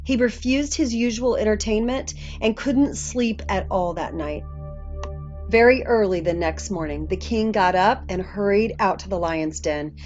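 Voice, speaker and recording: Female Bible story narrator, medium pitch, scripted read-aloud, dull recording with soft music. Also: a little slow. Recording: muffled audio with faint music.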